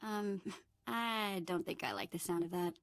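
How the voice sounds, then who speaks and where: An actress playing a sassy, wary young alien companion in a video game, sarcastic and indignant, with a creaky edge. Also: female voice, lowish voice, expressive, a little slow, dry recording, clean studio sound.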